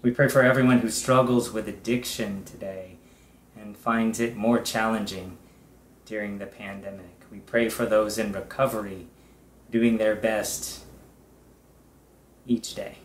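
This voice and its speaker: Slow-speaking male pastor in an echoey room. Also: fairly low pitch, steady tone, slight background noise. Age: middle-aged. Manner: deliberate, with short phrases and long pauses. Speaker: pastor leading worship. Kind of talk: pastoral prayer, measured and reverent. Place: indoors, small room with bare wall.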